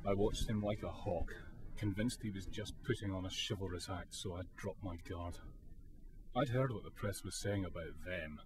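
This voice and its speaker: A man's voice: low-pitched, normal speed, lightly acted. A man playing a wounded, bitter and wary British soldier in a war radio drama. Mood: grave, reflective. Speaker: lead actor and narrator.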